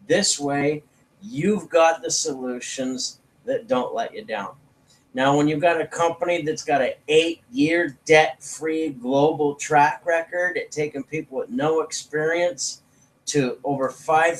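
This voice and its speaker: A man's voice: a little high, a little hiss or hum, rough recording. A man giving an informal sales presentation as a network marketing promoter, talking in a folksy, persuasive way.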